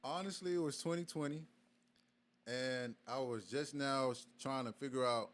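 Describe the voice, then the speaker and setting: A man's voice: medium pitch, normal speed, a bit bright. A young man from Virginia, a guest artist in a casual podcast interview, speaking in a laid-back way with many fillers and restarts. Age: young adult.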